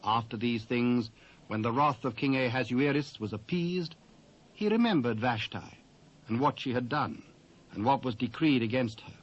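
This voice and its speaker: A man's voice: mid-range voice, expressive. British male narrator, dull tape sound. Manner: measured, solemn, storytelling. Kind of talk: scripted dramatic scripture narration. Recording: dull old cassette transfer.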